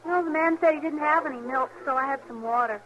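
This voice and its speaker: A woman's voice: high-pitched, quick pace, lightly acted. An actress playing an innocent, earnest little frontier girl with a rural American accent in an old radio Western. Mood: candid, shy. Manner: earnest, artless. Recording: dull vintage radio recording with music.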